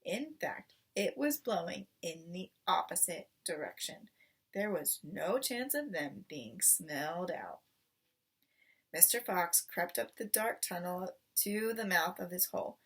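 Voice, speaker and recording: A woman reading a children's story aloud in expressive narration, recorded at some distance from the microphone. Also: on the low side, unhurried, slightly rough. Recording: voice distant from the mic.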